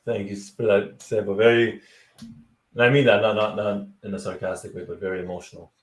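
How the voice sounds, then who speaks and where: A man's voice: lowish voice, flat delivery, fairly quick. A man in his forties hosting a casual live stream over a video call headset, speaking in an easygoing way and moving the talk along. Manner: easygoing, wraps up segments. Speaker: host. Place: small home room. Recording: roomy echo.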